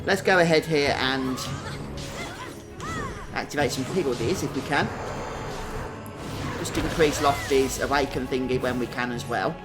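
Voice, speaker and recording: A man's voice: medium pitch, a little slow, long pauses. A man giving chatty live commentary on a video game, thinking aloud and often tagging questions onto his remarks. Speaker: game streamer. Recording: game music behind the voice. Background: video game music.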